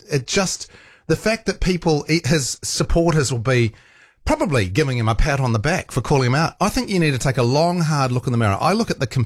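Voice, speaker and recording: A man's voice: medium pitch, no echo. Opinionated New male host, dry sound. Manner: opinionated, many fillers and restarts. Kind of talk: casual panel discussion.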